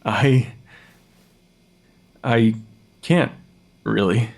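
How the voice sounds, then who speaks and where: A man acting in an audio drama as a sharp, secretive forensic pathologist, precise when explaining and awkward under pressure. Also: fairly low pitch, very slow, vocal fry, exaggerated voice. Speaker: actor in an audio fiction podcast. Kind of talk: full-cast audio drama dialogue.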